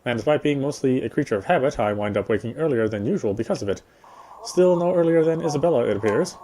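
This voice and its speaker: A man reading a visual novel's story narration aloud as a gameplay streamer, in a measured way. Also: medium pitch, expressive, warm tone, slight background noise.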